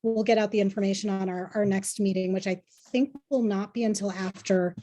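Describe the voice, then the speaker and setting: A woman's voice: mid-range voice, fairly even intonation, fairly quick, mellow. Chatty woman at a community meeting. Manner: chatty, rambling with restarts. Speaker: committee member. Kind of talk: casual meeting update.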